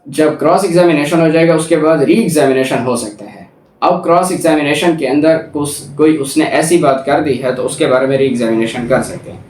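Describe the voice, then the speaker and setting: A man with a Pakistani accent giving a methodical explanatory lecture, reading out formal text and rephrasing each point. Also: on the high side. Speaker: law lecturer. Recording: echoey room, distant microphone.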